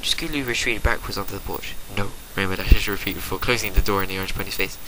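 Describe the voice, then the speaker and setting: A man reading fan fiction as a dramatic narration, theatrical and swinging between hushed and forceful, on an amateur home recording. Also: sits low, fairly even intonation, racing, noisy background. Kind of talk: dramatic storybook-style narration.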